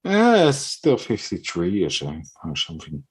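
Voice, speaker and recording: A man talks in an easy, unscripted way on a live stream. His voice sits on the low side. He hesitates a lot and restarts his sentences as he hunts for English words. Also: wildly swooping pitch, normal speed, slightly rough. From Netherlands. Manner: hesitant, many fillers and restarts. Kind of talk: casual chat.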